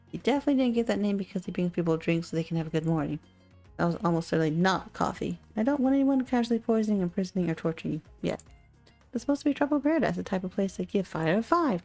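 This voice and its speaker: A woman's voice: lowish voice, a little mellow. A woman playing a chatty, sardonic omniscient narrator of a dating sim game, teasing the player directly.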